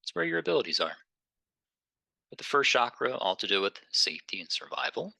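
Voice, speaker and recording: A man leading a guided meditation in a gentle, unhurried, conversational way, pausing between phrases. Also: medium pitch, very animated, gravelly, no room sound.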